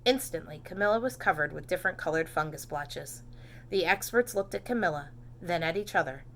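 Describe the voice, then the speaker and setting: Female storybook narrator, teacher type: bright tone, animated emphasis, pitched for young children. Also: a little fast, a little hiss or hum. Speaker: teacher reading aloud.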